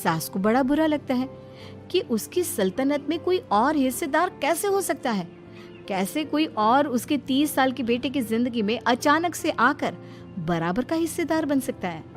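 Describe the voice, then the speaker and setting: A woman's voice: sits high, big pitch swings, normal speed, faint music behind. A woman storyteller delivering scripted narration for a cartoon family moral tale.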